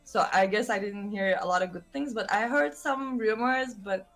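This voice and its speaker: A woman's voice: mid-range voice, normal speed, a bit bright, faint music behind. A woman from Turkey with a Turkish accent, a guest streamer in a casual podcast chat, speaking English as her second language, hesitant and giggly as she searches for words.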